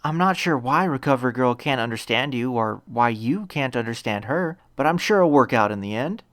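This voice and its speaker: A man's voice: medium pitch, animated, a little fast, a bit bright, no room sound, studio quality, natural voice. A man voicing an earnest, polite, stammering teenage boy hero in training in a narrated story. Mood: gentle, stammering. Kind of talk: acted audiobook dialogue.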